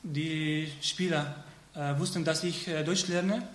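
A man whose first language is Arabic, speaking in halting sentences full of restarts and fillers. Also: on the high side, steady tone. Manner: halting learner's speech, many restarts. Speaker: interviewee, footballer. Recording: echoey room, faint noise.

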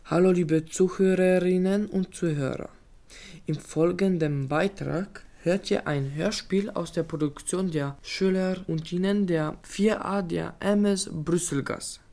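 Female announcer, slow and croaky, reading a radio intro off a script. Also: fairly low pitch, fairly even intonation, warm tone.

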